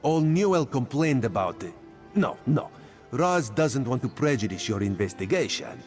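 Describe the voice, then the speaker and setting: Man doing a playful, secretive cat-man spy for a game, lively sing-song delivery, speaks of himself in third person, faint music behind. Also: medium pitch, normal speed, close to an everyday voice. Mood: sly. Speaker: spymaster quest giver. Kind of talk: video game character dialogue.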